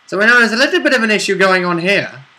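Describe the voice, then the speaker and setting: Man with a bright, forceful voice chatting. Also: sits high, big pitch swings, fast. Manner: interjecting, conversational. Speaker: tabletop role-play player. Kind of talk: casual chat about a role-play game.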